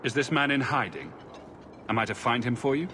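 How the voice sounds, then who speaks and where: An actor in a video game playing a cool-headed adventurer, direct, composed and to the point. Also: male voice, medium pitch, animated, a little fast, bright, forceful tone, a little hiss or hum. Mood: questioning.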